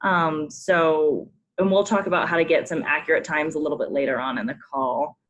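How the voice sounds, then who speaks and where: A woman's voice: deep voice, fairly even intonation, moderate pace, a bit bright. Friendly woman walking coaches through forms. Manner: friendly, frequent ums, polite reminders. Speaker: sports program staff leading a coach conference call. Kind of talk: instructional briefing with screen walkthrough.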